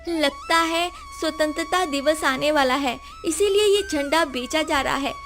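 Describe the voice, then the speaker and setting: Young orphan girl, acted by a woman, high pitch, caring, earnest, hopeful, animated story, music underneath. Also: a little slow. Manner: earnest, reassuring. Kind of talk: animated moral story voice acting. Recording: music behind the voice.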